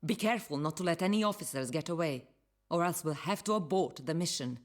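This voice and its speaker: An actress playing a determined Polish partisan commander in a military game, giving deliberate orders in a Polish accent. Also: female voice, fairly low pitch, expressive, no echo, studio quality, lightly acted. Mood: resolute, commanding. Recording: battle noise behind the voice.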